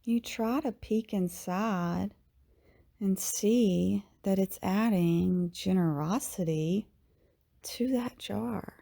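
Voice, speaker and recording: A woman leading a guided meditation in a soothing, unhurried way, with long pauses and phrases that tend to trail off softly. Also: mid-range voice, creaky. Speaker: yoga teacher, meditation guide.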